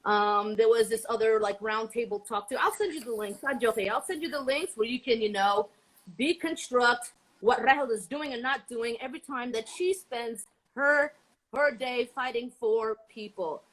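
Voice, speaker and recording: A woman in a heated debate, talking quickly and casually with fillers, often cutting in. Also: fairly high pitch, a bit bright, rough recording. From born in Saudi Arabia. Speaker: debate guest, activist.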